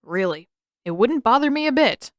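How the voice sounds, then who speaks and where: A woman's voice: a little high, animated, normal speed, dry recording, studio quality, pitched above the performer's own voice, natural voice. A woman voicing a grumbling, sarcastic teenage boy who whines and complains in an audiobook story. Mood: annoyed.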